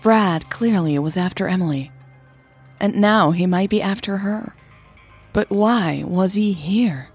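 A woman with a husky, breathy voice hosting and narrating audio fiction in a measured way, with pauses. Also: mid-range voice, a little mellow, soft background music. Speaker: podcast host and storyteller.

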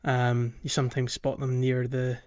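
A man's voice: mid-range voice, completely flat delivery, normal speed, darkish tone, lo-fi sound. A man chatting casually as a podcast co-host about a video game, often chuckling as he talks. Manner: chuckles often while talking. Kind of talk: casual chat, video game playthrough commentary.

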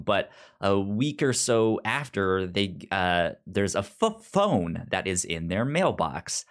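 Low-pitched man chatting casually. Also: a bit bright. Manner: informal, paraphrases characters in mock dialogue. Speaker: podcast co-host. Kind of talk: casual comic-book discussion.

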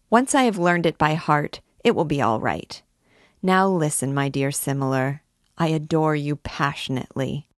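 A woman's voice: deep voice, no echo, studio quality. A woman voicing a vain, amorous young male captain in an audiobook, gallant, boastful and effusive. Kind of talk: audiobook character dialogue.

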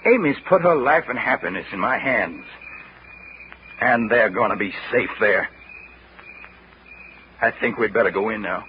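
Muffled radio play. restrained, conflicted young husband, lowish voice. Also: male voice, animated, measured pace, long pauses. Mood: restrained, firm. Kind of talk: 1940s radio drama acting. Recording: muffled, noisy 1940s radio recording.

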